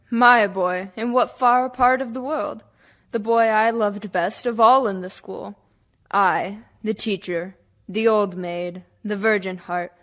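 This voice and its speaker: Woman acting a yearning, high-voiced spinster teacher. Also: fairly bright tone. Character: devout, tender, yearning spinster schoolteacher. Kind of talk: dramatic monologue for radio play.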